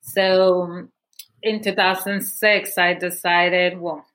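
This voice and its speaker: A woman's voice: lowish voice, level tone, slow pace, a bit bright. A young woman from Guatemala, a podcast guest candidly telling her life story with many fillers. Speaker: guest, movement founder. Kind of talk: personal story interview.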